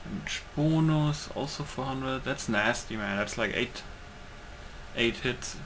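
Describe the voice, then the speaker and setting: A man's voice: medium pitch, slow, fairly bright tone. Rambling man gamer, noisy recording. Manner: rambling, thinking aloud, repeats words. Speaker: gamer commentating on own playthrough. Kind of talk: casual game commentary.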